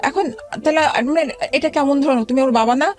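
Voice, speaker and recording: Bangladeshi female guest, middle-aged, personal storytelling at a quick clip, with broken-off words and restarts. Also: high voice, faint music behind. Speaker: interview guest telling own life story. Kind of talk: personal storytelling in a radio interview.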